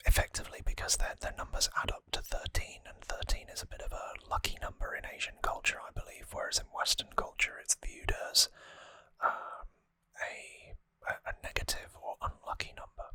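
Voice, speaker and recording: An ASMR host whispering breathily and close to the microphone, explaining things softly and informally with some hesitation. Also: a little fast, no echo. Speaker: host of a trivia ASMR show. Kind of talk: ASMR whispered episode recap.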